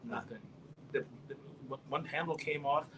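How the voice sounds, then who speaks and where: A man's voice: fairly high pitch, moderate pace, noisy background. A man speaking as a guest on a talk show in a casual, conversational style.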